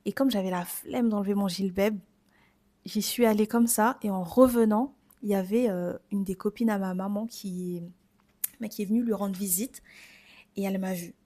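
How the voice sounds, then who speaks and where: Mellow-voiced young Frenchwoman, podcast host. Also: mid-range voice, fairly even intonation, normal speed. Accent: French from France. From France. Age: 20s. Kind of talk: personal reflective podcast monologue.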